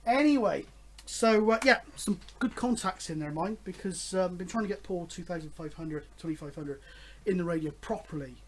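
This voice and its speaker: High-voiced man in his fifties, chatting casually. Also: normal speed. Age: 50s. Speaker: host of a radio hobby vlog. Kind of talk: casual, rambling chat to camera.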